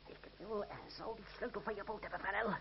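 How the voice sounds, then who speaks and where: High-voiced, menacing fugitive man. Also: fairly even intonation, a little fast, gravelly. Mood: threatening. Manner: commanding, menacing, curt. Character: desperate, ruthless male fugitive threatening a ferryman. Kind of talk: old Dutch radio play acting. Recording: noisy, dull old radio play recording.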